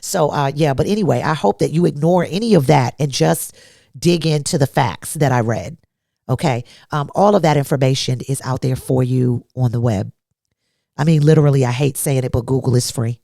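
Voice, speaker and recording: A woman's voice: rumbling low, fairly quick, gravelly, dark tone, dry recording, clean studio sound. A woman hosting a solo talk podcast, emphatic and blunt, asking rhetorical questions, a little congested. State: congested from allergies. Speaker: podcast host.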